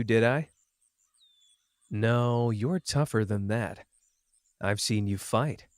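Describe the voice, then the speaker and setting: A man's voice: mid-range voice, dry recording, lightly acted. A man playing a cocky, playful young sorcerer who teases and cares, speaking smugly and intimately to the listener in an ASMR roleplay. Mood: playful, goading. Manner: teasing, smug, drawling, intimate. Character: cocky, playful young male sorcerer, teasing but caring.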